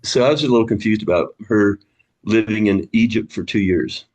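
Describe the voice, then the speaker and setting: A man's voice: on the low side, fairly quick, darkish tone, lo-fi sound. A man leading a book study group on a video call, casual and friendly, thinking aloud with restarts and chuckles.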